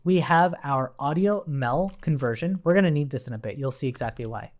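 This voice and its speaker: Male coding instructor, medium pitch, conversational and explanatory, informal screen tutorial delivery with typing at the desk. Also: fairly quick. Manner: conversational, explanatory, often checking with "right?". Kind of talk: informal technical tutorial walkthrough.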